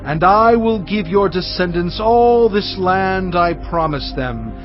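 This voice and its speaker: A man's voice: high-pitched, animated, slow. An actor playing an earnest male prophet who pleads in an impassioned, beseeching way in a dramatized scripture reading. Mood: pleading. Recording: dull band-limited sound, faint music.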